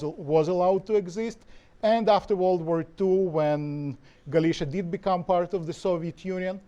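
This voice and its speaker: A man's voice: high-pitched, dark tone. A man from Ukraine, an author answering questions at a panel discussion, thoughtful, often restarting his phrases with "you know". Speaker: author and historian, guest speaker. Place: lecture hall.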